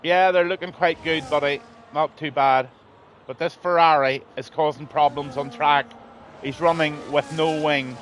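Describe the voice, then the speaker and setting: A man's voice: a little high, steady tone, measured pace, a bit bright, slight background noise. A man, losing his voice, commentating a live online race in a rambling, blunt way with lots of ums. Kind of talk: live sim racing commentary.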